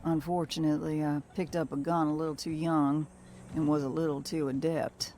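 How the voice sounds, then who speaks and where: A woman's voice: sits low, little pitch movement, normal speed, dark tone, a little hiss or hum, natural voice. An actress voicing a weary, wry and salty female bounty hunter in a video game. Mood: wry, reflective. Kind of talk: video game journal narration and dialogue.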